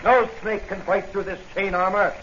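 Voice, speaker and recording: An actor playing a confident, stern masked crime-fighter in a 1940s-style radio serial. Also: male voice, high-pitched, fairly even intonation, moderate pace, fairly bright tone. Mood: stern, commanding. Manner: taunting, commanding. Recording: dull, noisy old radio serial recording.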